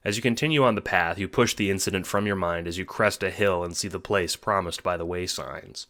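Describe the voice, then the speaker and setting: A man's voice: deep voice, a little fast, fairly bright tone. A man reading scripted second-person narration for an audiobook-style drama.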